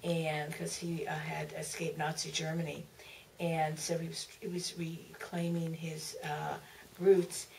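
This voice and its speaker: Low-voiced, creaky woman, halting and distant. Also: level tone. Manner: halting, repeats and trails off. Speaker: author, panel guest. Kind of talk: recollecting at a live audience Q&A. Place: museum theater. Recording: distant, noisy auditorium pickup.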